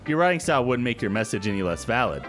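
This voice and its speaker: Animated man doing let's-play commentary. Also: medium pitch, fast talker. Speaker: gaming video host. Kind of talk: casual let's-play commentary and dialogue reading. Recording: game music under the voice. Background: game music.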